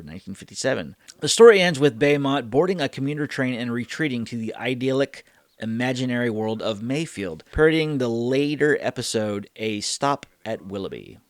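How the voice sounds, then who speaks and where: A man's voice: medium pitch, no room sound. A man hosting a reaction show, talking casually and thinking aloud as he gives rambling commentary.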